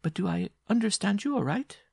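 A man's voice: sits high, animated, a little fast, vocal fry, a little mellow, husky, dry recording, studio quality, pitched above the performer's own voice, exaggerated voice. A man voicing a robust, teasing female circus giantess in a story, with a mock-gracious manner. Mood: feigned surprise.

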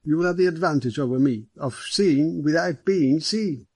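A genial, greying English police superintendent in an audiobook mystery, put on by a male narrator. He is hearty and courteous in a formal way. The voice is lifted high with a husky grain. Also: normal speed, darkish tone, no room sound. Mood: genial, confiding.

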